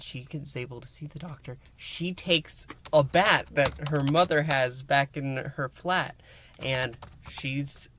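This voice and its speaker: A man hosting a review and recap, speaking in an emphatic, theatrical way with dramatic pauses. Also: mid-range voice, fairly bright tone, slight background noise. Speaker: host, reviewer. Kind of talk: enthusiastic scripted-style recap and review to listeners.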